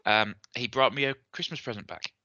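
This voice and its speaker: A man's voice: mid-range voice, brisk, pushed and bright, no room sound. A man co-hosting a casual whisky podcast, chatty and rambling, laughing easily as he talks. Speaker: podcast co-host. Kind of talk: casual chat. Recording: slightly dull lo-fi sound.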